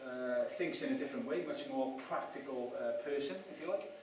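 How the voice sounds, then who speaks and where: A man's voice: mid-range voice, a little fast, darkish tone, slight background noise. A Welsh-raised man giving a chatty, self-mocking talk to a live audience and asking them questions. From Wales. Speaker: speaker at a property event. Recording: echoey room, mic at a distance.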